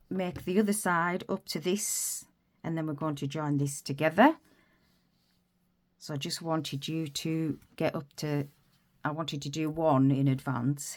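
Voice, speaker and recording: Deep-voiced woman, stuffed up with a cold, rambling friendly tutorial host. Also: darkish tone. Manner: chatty, rambling, self-interrupting. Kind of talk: casual crafting tutorial.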